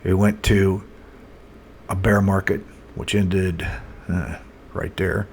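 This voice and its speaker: A man presenting a casual, unscripted chart commentary as a market analyst, thinking aloud in a halting way. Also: deep voice, level tone, a little mellow. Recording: noticeable background noise.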